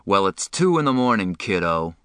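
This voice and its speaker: A man reading an audiobook, voicing a harsh, exasperated older brother who scolds and shouts. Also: fairly low pitch, animated, slow pace, clear, resonant tone, no echo, clean studio sound, full character voice. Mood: angry, exasperated.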